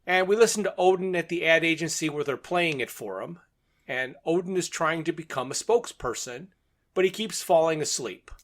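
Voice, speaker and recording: A man's voice: on the high side, lively intonation, normal speed, a bit bright. A man co-hosting a podcast, casually discussing and retelling a story in a conversational way. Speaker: podcast co-host.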